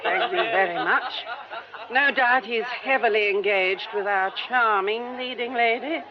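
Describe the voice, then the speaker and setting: A woman's voice: a little high, unhurried, a crowd in the background, natural voice. A woman playing an effusive, brittle theatre actress in a radio drama, with an affected theatrical manner. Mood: brittle, jealous. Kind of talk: British radio drama acting. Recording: muffled radio drama recording, clear noise.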